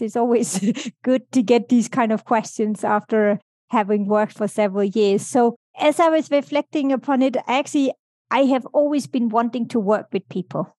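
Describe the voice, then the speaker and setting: A woman answers interview questions in a fairly high voice, thinking aloud as she talks. She pauses, restarts and fills gaps while working out what to say next. The recording is close and dry, with no room sound. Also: darkish tone, dry recording. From Denmark. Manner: thoughtful, with frequent restarts and fillers. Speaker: podcast guest.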